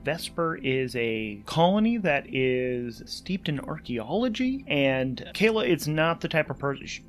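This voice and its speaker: A man hosting a solo tabletop role-playing game, narrating and thinking aloud in an informal, animated, enthusiastic way. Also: a little high. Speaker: host and player of a solo tabletop RPG podcast. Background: music.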